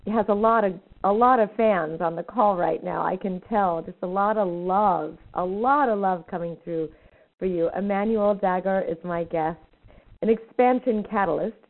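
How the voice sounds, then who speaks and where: A woman's voice: mid-range voice, normal speed. A woman hosting a spiritual talk show, effusive and a little rambling as she interviews a guest. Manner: warm, effusive, slightly rambling. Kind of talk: radio interview. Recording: thin phone-like line.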